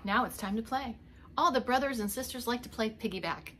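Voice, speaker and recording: A woman's voice: fairly high pitch, brisk, noisy background. A middle-aged woman reading a picture book aloud as a preschool teacher, expressively, with little asides to young children. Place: indoors, home living room.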